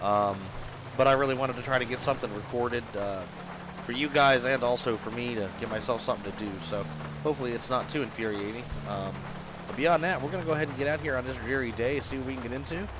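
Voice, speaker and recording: A man hosting a police game video, talking casually over the gameplay while he is sick with a cold, and shouting commands at suspects during stops. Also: medium pitch, fast, noisy background. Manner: barks loud commands at suspects.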